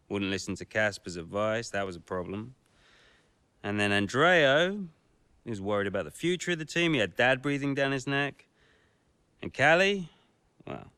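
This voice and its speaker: A cocky, self-satisfied man in a game story. His bright voice swings widely in pitch as he drawls breezily, and it is recorded close and dry. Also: clean tone, no echo, heavily acted. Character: cocky, smug, charming man, scheming brother. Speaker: team liaison in a racing game story. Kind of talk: video game cutscene acting.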